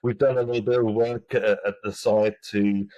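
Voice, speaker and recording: A man with a low voice gives interview answers about his work. He speaks haltingly, often restarting words. The sound is dry and close, with no room around it. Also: level tone, mellow, no room sound, lo-fi sound. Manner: halting, many restarts and fillers. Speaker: mining company executive, guest.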